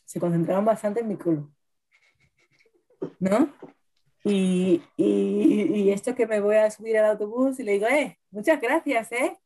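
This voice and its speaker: A woman's voice: medium pitch, measured pace, warm tone. A woman co-hosting a podcast, telling stories in a chatty way and often tagging on "¿no?". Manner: chatty, keeps adding "¿no?". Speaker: podcast co-host. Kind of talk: casual chat over wine.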